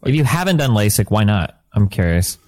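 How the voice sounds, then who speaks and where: Low quick guy thinking out loud, curious. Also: big pitch swings, dry recording. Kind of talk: casual group chat.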